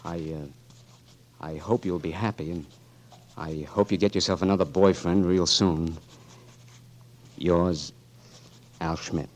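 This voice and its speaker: An actor playing a young marine blinded in war, bitter and stubborn, with gruff clipped working-class speech, in 1940s radio acting. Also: male voice, sits low, fairly even intonation, takes it slowly, long pauses, darkish tone. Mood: resigned.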